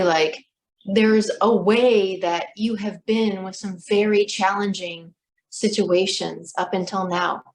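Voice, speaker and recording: A woman life coach speaking slowly and softly on a video call, reflecting back what she hears. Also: medium pitch, poor audio. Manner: slow, soft-spoken, reflective. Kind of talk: gentle coaching session. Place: home room on a video call.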